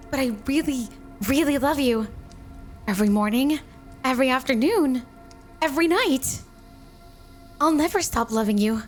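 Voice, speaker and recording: High-voiced doting yandere snow woman, played by an actress. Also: lightly acted. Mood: devoted, loving. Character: flirty, devoted, possessive yandere snow woman wife. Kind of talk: intimate ASMR roleplay.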